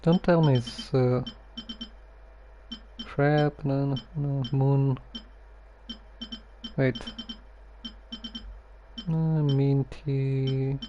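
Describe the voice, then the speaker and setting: A man giving casual live gameplay commentary, haltingly muttering to himself as he plays. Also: medium pitch, fairly even intonation, dark tone, lo-fi sound, slight background noise. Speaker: game streamer.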